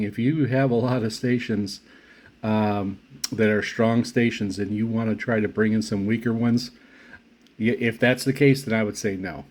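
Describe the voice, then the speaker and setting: A man giving a casual product review as a hobbyist, speaking haltingly with frequent pauses and restarts. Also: medium pitch, darkish tone. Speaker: radio hobbyist reviewing gear.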